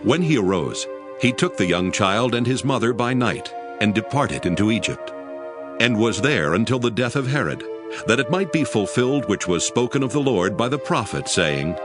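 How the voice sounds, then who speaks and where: A man narrating scripture from a script in a solemn, expressive style. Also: lowish voice, moderate pace. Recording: music behind the narration. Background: music.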